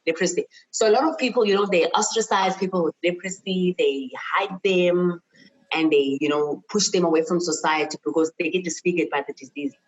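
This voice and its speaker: A woman's voice: on the low side, fairly quick, dull audio. A middle-aged woman with a South African accent, speaking in a reflective, personal interview style, earnest and emphatic, repeating phrases for stress. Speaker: university chemist and lecturer, interview guest.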